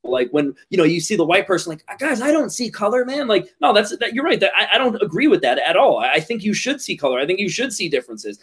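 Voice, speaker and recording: A man's voice: high-pitched, very animated, fast talker, hardly any pauses, a bit bright, husky. A man in a casual podcast discussion, talking earnestly and stumbling over his words with many restarts. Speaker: podcast guest.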